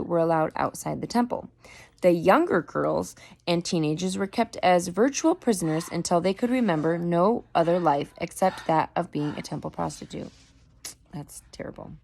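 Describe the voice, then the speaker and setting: A woman reading a book aloud in a deliberate storytelling manner, with an occasional stumble over the words. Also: on the low side, creaky. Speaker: reader. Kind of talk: book read-aloud narration.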